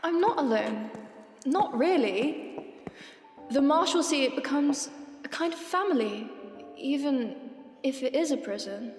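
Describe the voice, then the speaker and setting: A young woman acting a gentle, timid, selfless prisoner's daughter in a period audio drama, meek and earnest. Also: high voice, animated, measured pace, long pauses. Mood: quiet, wistful. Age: young adult. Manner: soft-spoken, meek, earnest. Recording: echoey hall reverb, faint music.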